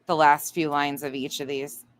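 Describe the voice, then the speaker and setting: A woman's voice: deep voice, level tone, moderate pace. A woman hosting a livestream, reacting and chatting to viewers in a blunt, indignant, sweary way. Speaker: livestream host.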